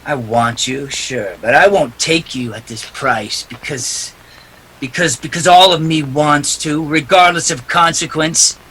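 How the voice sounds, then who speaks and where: A hard-boiled private eye from a 1940s-style radio play, acted by a man. His voice is sharp, forceful and husky, pitched high for a man. He speaks in a tough, clipped, unyielding way. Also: unhurried, heavily acted, low-quality recording. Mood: torn, resolute. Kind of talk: 1940s radio drama acting.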